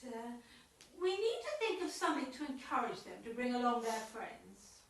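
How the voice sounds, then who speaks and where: A woman playing a brisk, officious club chairwoman in an amateur radio comedy, with a clipped, schoolmistressy manner. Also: a little high, big pitch swings, gravelly. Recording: very echoey room, distant mic.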